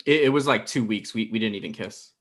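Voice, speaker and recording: A man's voice: on the low side, quick pace, natural voice. A young man playing a dry, defensive thirty-year-old boyfriend in a comedy table read on a video call. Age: young adult. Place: indoor room on a video call.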